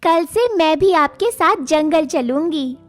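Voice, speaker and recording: A woman voicing a devoted young wife who speaks softly and caringly. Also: high-pitched, expressive, slow, soft background music. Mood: eager. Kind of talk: cartoon voice acting.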